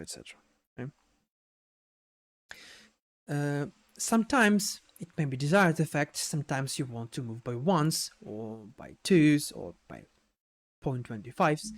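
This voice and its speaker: A man giving an unscripted software tutorial in a halting way, repeating words and adding little checking tags as he explains each step. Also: mid-range voice. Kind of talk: unscripted screen-share tutorial narration.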